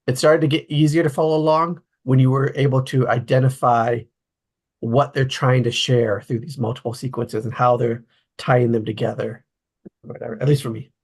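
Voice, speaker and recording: A man with a mid-pitched, slightly husky voice hosts an informal group discussion over a call. He talks conversationally, as someone leading a casual chat among friends. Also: flat delivery, moderate pace. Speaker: host of a table read discussion.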